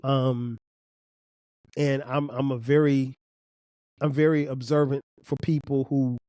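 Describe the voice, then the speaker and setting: A man's voice: mid-range voice, flat delivery, takes it slowly, dark tone, no room sound, studio quality. A man from South Carolina with a South Carolina accent and a slightly nasal voice, rambling casually through a solo podcast with lots of fillers and restarts. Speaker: podcast host.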